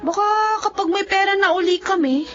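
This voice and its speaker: An actress playing a selfless, resilient twenty-year-old Filipina daughter in a TV drama, gently firm and stoic. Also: female voice, extremely high pitch, a little fast. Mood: resigned. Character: selfless, resilient young working daughter who gave up studies. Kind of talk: Filipino television drama acting. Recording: dull audio under music.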